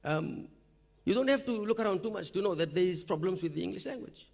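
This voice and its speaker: A man's voice: sits high, lively intonation, quick pace, gravelly, darkish tone. A male university lecturer speaking at a teaching forum, explaining his classroom methods in a conversational way and checking in with "okay?" often. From Fiji. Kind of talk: conference talk. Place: lecture hall or forum room.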